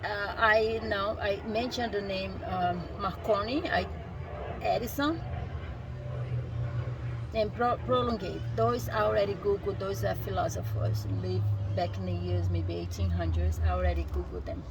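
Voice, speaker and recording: A woman with a Brazilian Portuguese accent, a native Portuguese speaker, reads a book aloud outdoors while sitting on a rock. She reads haltingly, stumbles and restarts on words, and adds casual asides. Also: mid-range voice, fairly even intonation, noisy background. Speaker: vlogger reading a self-help book.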